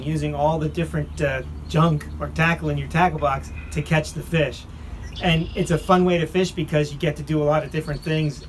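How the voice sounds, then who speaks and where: A man's voice: on the high side, slight background noise. A middle-aged man hosting a fishing video, explaining and narrating in a plain-spoken way. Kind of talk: explanatory vlog talk and narration.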